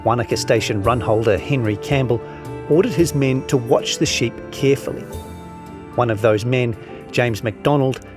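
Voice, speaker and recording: A New man narrates a long-form article in a mid-pitched voice. His delivery is scripted reading, with music clearly playing behind him.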